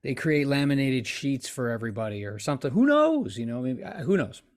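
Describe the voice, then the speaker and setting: Middle-aged man, halting, mid-pitched voice. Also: big pitch swings, a little mellow. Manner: halting, restarting as he reasons aloud. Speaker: tabletop game player. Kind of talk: casual improvised game discussion. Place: indoors on a video call.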